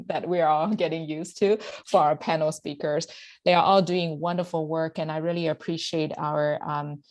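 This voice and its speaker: A woman's voice: sits low, darkish tone, no room sound. A woman moderating an online panel, giving hesitant closing remarks with many fillers.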